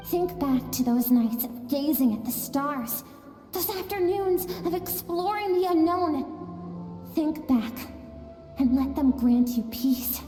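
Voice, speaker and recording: An actress voicing an earnest, gentle young girl who speaks softly and tenderly in a game cutscene. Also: very high voice, exaggerated voice. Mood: pleading. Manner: soft, pleading, tender. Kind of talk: video game cutscene dialogue. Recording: echoey reverberant hall. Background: soft music.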